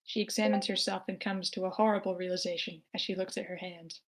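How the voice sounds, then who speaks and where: A woman's voice: lowish voice, fairly even intonation, fast talker. A woman narrating scripted stage directions for a live screenplay table read. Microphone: remote online meeting.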